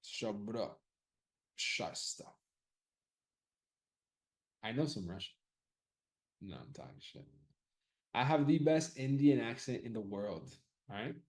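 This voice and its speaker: A man's voice: lowish voice, very animated, takes it slowly, pauses often, a little mellow. A man running a live audience poll about class times, casually thinking aloud, loose and profane, often repeating himself.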